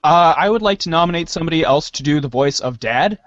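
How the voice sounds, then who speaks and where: A man speaks quickly in a somewhat high voice, matter-of-fact with little hesitant starts. The sound is close and dry, a bit dull. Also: lively intonation, a bit bright, no echo. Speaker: participant in a group reading.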